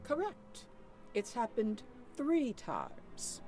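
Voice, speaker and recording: Proper older shopkeeper, very expressive pitch. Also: female voice, extremely slow, faint music behind, exaggerated voice. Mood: guarded, firm. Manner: courteous, formal, guarded. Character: unflappable, proper older widowed woman shopkeeper. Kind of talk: voiced adventure game dialogue.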